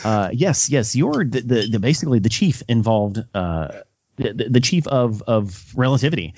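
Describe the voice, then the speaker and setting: A man interviewing as a podcast host in a casual, halting style, often repeating words as he goes. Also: medium pitch, animated, darkish tone, muffled recording, slight background noise.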